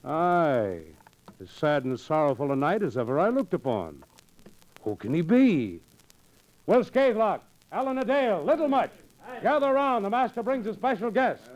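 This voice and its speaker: A jolly, teasing outlaw right-hand man in a 1940s radio play. The voice is high and very lively in its rise and fall. His delivery is hearty and theatrical, full of archaic turns. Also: normal speed, muffled recording. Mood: hearty, calling out.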